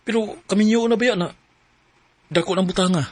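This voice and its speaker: A man's voice: high voice, fairly quick, no echo. An actor playing a hesitant young boyfriend who stammers as he confesses to his partner in a radio drama. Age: young adult.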